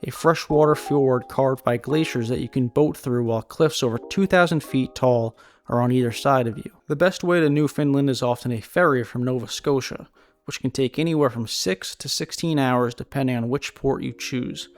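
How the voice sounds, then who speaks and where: A man giving a steady, informative countdown in scripted travel narration. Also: medium pitch, normal speed. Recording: voice-over with guitar music behind.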